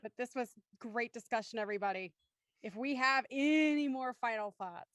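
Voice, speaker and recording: High-voiced young woman chatting. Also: normal speed, fairly bright tone, no room sound. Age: young adult. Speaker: host and cast member leading the discussion. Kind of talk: casual group discussion.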